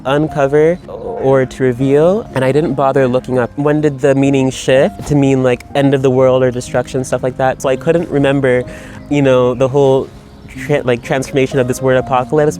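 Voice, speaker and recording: A man of ordinary pitch talks in a loose, reflective monologue, circling his thoughts with frequent fillers and restarts. Faint music sits underneath. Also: a little mellow. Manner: rambling, reflective, many fillers. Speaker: writer. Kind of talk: personal video monologue. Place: Berlin.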